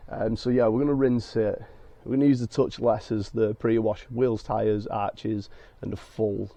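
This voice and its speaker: A man with a croaky, hoarse voice from being ill, hosting a car detailing vlog and explaining each step in a chatty way with many fillers. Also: fairly low pitch, little pitch movement, moderate pace, warm tone, dry recording. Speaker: car detailing channel host. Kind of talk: casual talk to camera with fillers. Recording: dull lo-fi sound.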